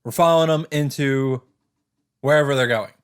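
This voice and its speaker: A man's voice: medium pitch, normal speed, smooth. A man let's player reading visual novel narration dramatically, with casual commentary of his own. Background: game music.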